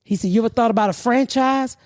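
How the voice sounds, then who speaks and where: A woman's voice: on the high side, fast, a little mellow, no echo, clean studio sound. A middle-aged woman, a podcast host telling a long story in a blunt, profane, rambling way. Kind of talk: casual storytelling.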